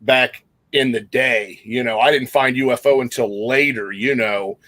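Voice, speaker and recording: A man's voice: mid-range voice, steady tone, a little slow, a bit bright, no echo. Easygoing male host, dry close sound. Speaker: podcast host. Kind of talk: casual interview chat.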